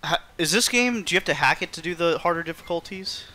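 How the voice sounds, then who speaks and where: A man's voice: on the high side, lively intonation, fast. A man chatting casually and slangily as he streams a video game, easygoing in manner. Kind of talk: casual gameplay stream chat. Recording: loud game music behind voice. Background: video game music.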